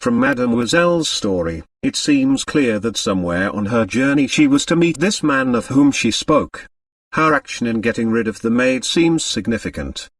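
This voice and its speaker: A man voicing a brisk, methodical French police commissary in an audiobook, official and reassuring. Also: mid-range voice, expressive, no room sound, recorded from a distance, lightly acted. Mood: methodical, speculating.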